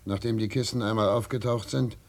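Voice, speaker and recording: An actor playing a wry, excitable military investigator who narrates his case in a 1950s radio play, with animated, exclamatory delivery. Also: fairly low pitch, a little fast. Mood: wry, storytelling.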